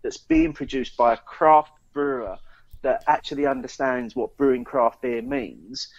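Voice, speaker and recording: A man's voice: medium pitch, unhurried, highs cut off. A British man co-hosting a casual podcast chat, speaking in an opinionated, emphatic way. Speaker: podcast co-host.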